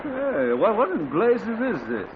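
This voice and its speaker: A man's voice: sits high, sing-song, slow pace, exaggerated voice. An actor playing an exasperated, blustering middle-aged father in a 1940s radio comedy, heard through a tinny, hissy old radio recording. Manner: blustery, indignant.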